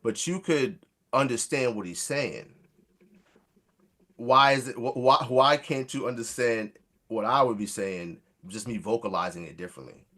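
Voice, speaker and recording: A man debating in a soft, hesitant way, often restarting his sentences. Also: medium pitch, unhurried. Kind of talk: conversational debate.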